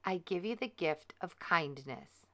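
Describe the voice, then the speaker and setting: A woman voicing a kindly good fairy from a children's storybook, gentle and solemn as she pronounces. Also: lowish voice, animated, measured pace. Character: kindly, gift-giving good female fairy.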